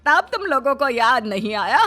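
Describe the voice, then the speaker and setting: Woman voicing bright, defiant elderly mother-in-law. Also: on the high side, big pitch swings, moderate pace, faint music behind. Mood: wounded, indignant. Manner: emphatic, pleading, emotional. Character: elderly widowed mother-in-law, defiant, wounded, bride again. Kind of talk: Hindi moral story dramatization.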